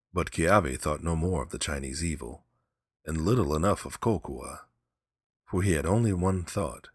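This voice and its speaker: A man narrating a story as an audiobook reader, in measured, simple phrasing. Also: deep voice, slightly rough, no echo, studio quality.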